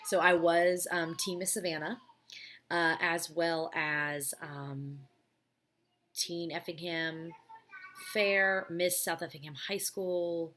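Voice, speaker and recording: A woman in her thirties with a raspy, low voice, chatting casually at home about her life and answering viewer questions with many ums. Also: flat delivery, takes it slowly, a bit bright. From United States. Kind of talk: casual chat. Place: home, child heard playing in background.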